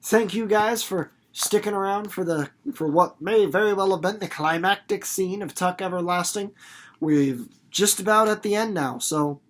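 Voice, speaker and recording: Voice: mid-range voice, moderate pace. Casual, rambling literature teacher, theatrical and playful. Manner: rambling, theatrical, with acted-out examples and sound effects; informal, playful, repeats words for emphasis. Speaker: reader and literature teacher hosting a read-aloud video series. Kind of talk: casual read-aloud commentary and explanation for students.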